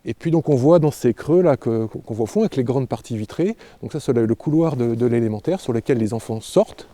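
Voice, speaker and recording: Man, architect on a guided tour, very mellow dark tone, mid pitch, halting delivery with repeated words, dry sound. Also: dry recording. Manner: halting, hesitant, repeats words while explaining. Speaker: architect presenting a building project. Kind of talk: spontaneous guided-tour explanation.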